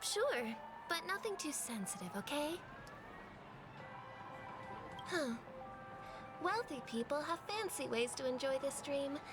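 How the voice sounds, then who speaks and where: A candid investigative journalist from a video game. She sounds worldly and conversational, with a slightly raised pitch over clear background music. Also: lively intonation, normal speed. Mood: curious, guarded. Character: candid, worldly female investigative reporter, guarded but chatty.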